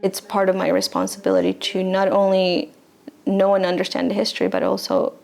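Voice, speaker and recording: A woman's voice: medium pitch, completely flat delivery, fairly quick, vocal fry, darkish tone. A young woman from Bolivia answering interview questions earnestly, sometimes restarting her sentences.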